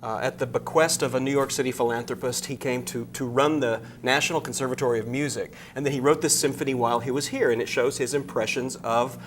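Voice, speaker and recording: A man speaking as an interview guest and arts director, explaining things in measured phrases. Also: mid-range voice, fairly even intonation. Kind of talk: interview talk about a concert program. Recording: clear background noise with hum.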